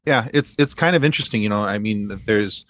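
A man speaking as a podcast guest in a casual fan discussion, explaining things in a halting, rambling way. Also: fairly low pitch, fairly bright tone.

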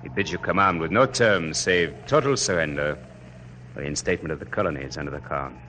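An actor playing an earnest, loyal young French general in an old radio drama, speaking formally. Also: male voice, very deep voice, fairly quick, bright, forceful tone, slight background noise. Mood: solemn. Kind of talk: 1940s radio drama acting.

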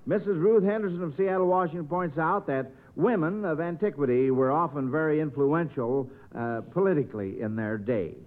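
High-pitched folksy man on old thin-sounding radio. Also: normal speed, hardly any pauses. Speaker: quiz show host. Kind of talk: 1940s radio quiz show hosting, addressing children. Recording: old radio broadcast, thin phone-like band.

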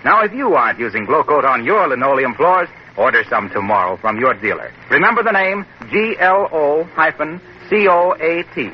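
A man's voice: fairly high pitch, big pitch swings, normal speed, few pauses. A male announcer reading an upbeat commercial and introduction in a 1940s radio show. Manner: upbeat, selling. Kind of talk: 1940s radio ad read and narration. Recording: dull, hissy old radio recording.

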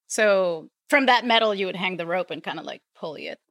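A woman chatting casually, halting often as she searches for words. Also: on the low side, animated, a bit bright, no room sound. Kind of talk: casual chat.

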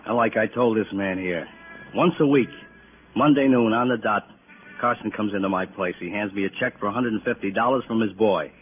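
Man acting fussy impatient shopkeeper, lowish voice, repetitive grumbling, 1950s radio play, phone-thin audio. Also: brisk, natural voice. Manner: plain-spoken, repetitive, grumbling. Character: fussy, impatient neighbourhood grocer, a put-upon man. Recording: tinny, noisy old radio recording.

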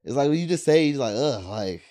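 A man's voice: medium pitch, lively intonation, fairly quick, mellow. A man in his twenties hosting a podcast, talking in loose, slangy casual chat with lots of fillers. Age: 20s. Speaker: podcast host.

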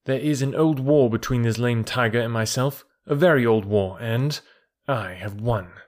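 A man's voice: medium pitch, moderate pace, a little mellow, close to an everyday voice. A man voicing a proud, scornful herdsboy raised by wolves in a storybook reading, assured and archaic in wording. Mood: calm, defiant.

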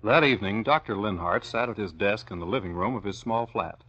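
An actor as a serious narrator reading scripted narration in an old-time radio drama. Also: male voice, on the low side, brisk, pushed and bright, husky. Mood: measured, serious. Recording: dull old radio recording, music behind.

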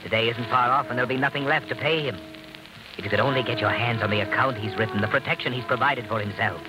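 Music plays under an old radio suspense recording. An actor plays an all-knowing male narrator who taunts and insinuates. He speaks quickly, prodding with rhetorical questions. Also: mid-range voice, close to an everyday voice, rough recording. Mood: knowing, taunting. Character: taunting, omniscient male inner-voice narrator of doom.

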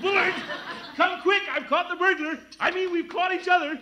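A man's voice: fairly even intonation, normal speed, muffled recording. An actor playing a pompous, blustery middle-aged man in a 1940s radio comedy. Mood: blustery excited. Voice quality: booming, blustery. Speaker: lead character. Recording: old radio broadcast with audible noise.

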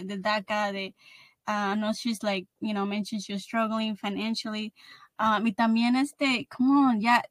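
A woman's voice: medium pitch, no room sound. Female podcast host, 30s, mid-range voice, informal, code-switches between Spanish and English, dry acoustic. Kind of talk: casual conversation.

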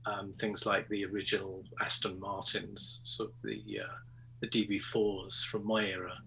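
A man's voice: lowish voice, little pitch movement, normal speed, bright, forceful tone, a little hiss or hum, rough recording. A man in his sixties from England, a photographer chatting as a guest in an interview, hesitant with many ums and restarts, over a video call. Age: 60s. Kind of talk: casual interview chat.

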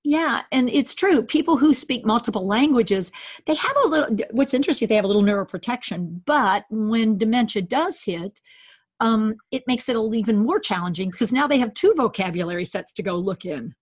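A woman educator explains in a lively, casual way, playing out both sides of a conversation as she talks. Her voice sits fairly high and has a husky edge. The recording is dry and close, with no room sound. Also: a little fast, no echo. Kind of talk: casual teaching chat.

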